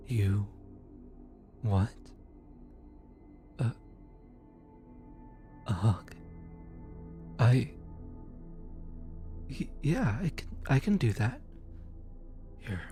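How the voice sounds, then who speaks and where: A man playing a gentle, apologetic demon who comforts lost souls in an ASMR roleplay, speaking softly and hesitantly. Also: lowish voice. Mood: apologetic, tender. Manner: soft, soothing, hesitant with stammers. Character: gentle, apologetic male demon soul collector comforting the newly dead. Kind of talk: ASMR roleplay audio drama.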